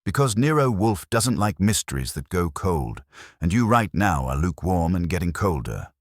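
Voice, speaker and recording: A wry, steady male legman talks plainly in a detective audiobook, acted by a man. His voice is extremely deep and husky, with a lively rise and fall. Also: normal speed, dry recording, studio quality. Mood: steady, pressing. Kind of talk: audiobook character dialogue.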